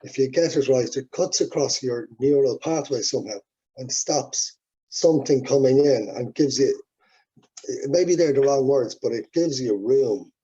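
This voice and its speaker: An Irish man, first language Irish, talks in a loose, reflective way, circling back and restarting his thoughts. His voice is husky and mellow. The sound is dull and lo-fi, like a video call. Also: mid-range voice, unhurried. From Ireland. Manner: rambling, reflective, many restarts. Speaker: musician guest. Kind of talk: casual interview talk.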